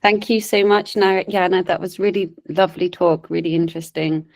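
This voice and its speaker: A woman moderating a talk, friendly and gently inviting questions from the audience. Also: lowish voice, level tone, moderate pace, vocal fry, no room sound.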